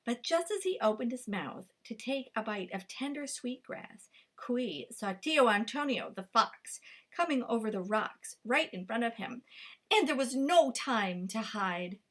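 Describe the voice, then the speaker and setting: A woman librarian reading a picture book aloud to children, with lively, expressive storytelling. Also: a little high, measured pace.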